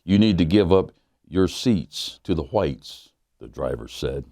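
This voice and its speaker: A man who is a pastor, reading a children's picture book aloud to young listeners in a measured, earnest way. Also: low voice, animated, mellow, dry recording, studio quality.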